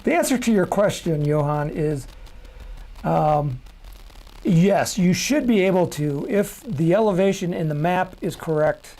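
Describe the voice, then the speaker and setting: Gravelly, mellow-voiced man demoing software. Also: high-pitched, lively intonation, a little slow. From Chicago. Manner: rambling, self-correcting, chuckles at himself. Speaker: rocketry software presenter. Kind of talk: casual screen-share demo.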